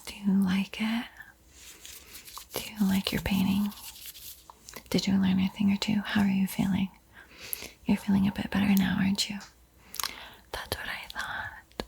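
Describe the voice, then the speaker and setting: A woman whispering an ASMR painting tutorial in a breathy, soothing, gently encouraging way. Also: medium pitch, completely flat delivery, a little slow, pauses often, slight background noise. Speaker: art tutorial host.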